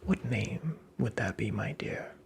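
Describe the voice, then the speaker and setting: A performer voicing a creepy, taunting fairy-tale imp in a whispered ASMR roleplay, with breathy, gravelly, theatrical, mockingly courteous delivery. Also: medium pitch, lively intonation, measured pace, a little hiss or hum. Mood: smug, menacing.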